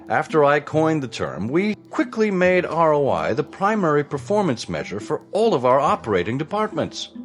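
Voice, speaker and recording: A man playing the formal, self-assured ghost of an early twentieth-century businessman in a musical audio drama. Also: medium pitch. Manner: formal, pompous.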